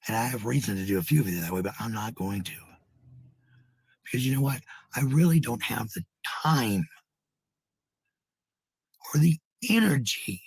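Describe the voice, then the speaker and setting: An American man with a husky, gravelly voice rambling casually to livestream viewers, blunt, sweary and given to repeating phrases. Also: medium pitch, lively intonation, moderate pace, long pauses, darkish tone, no room sound, low-quality recording. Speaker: live streamer.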